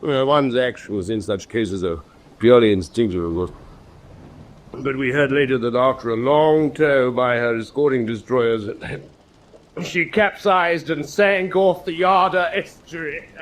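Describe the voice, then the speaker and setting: An actor playing a pompous, boastful middle-aged British naval commander in a radio drama, with theatrical, self-satisfied storytelling. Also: male voice, mid-range voice, measured pace, natural voice. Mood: smug. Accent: British English.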